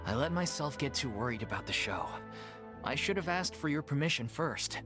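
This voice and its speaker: A man's voice: medium pitch, animated, normal speed, over loud music, lightly acted. A man playing a worried, stern but conciliatory theatre troupe leader in a video game. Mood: apologetic.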